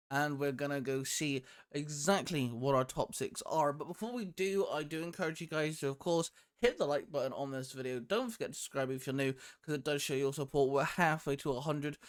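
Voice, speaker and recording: A man with a slightly high-pitched voice hosts a casual chat video. He speaks emphatically and with enthusiasm, like someone walking viewers through a favourites list. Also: normal speed. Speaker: video host. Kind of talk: casual chat about a top six list.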